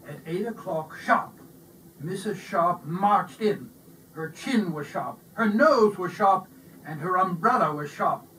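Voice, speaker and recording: A man narrating a children's storybook aloud in an animated, performed style. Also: sits high, slow pace. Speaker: storybook reader. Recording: distant mic with faint noise.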